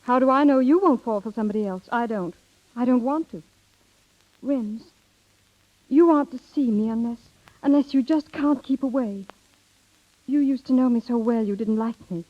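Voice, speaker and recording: An actress playing an independent, wistful young wife, tender but firm and hesitant, in a muffled 1930s radio drama. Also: female voice, fairly high pitch, natural voice. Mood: wistful, resolute. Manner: hesitant pauses, earnest.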